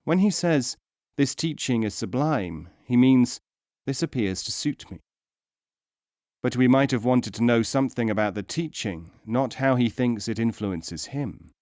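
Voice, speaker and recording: A man's voice: mid-range voice, animated, measured pace, a little mellow, no room sound. Dry, closely recorded male audiobook narrator. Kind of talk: scripted narration of philosophical teaching stories.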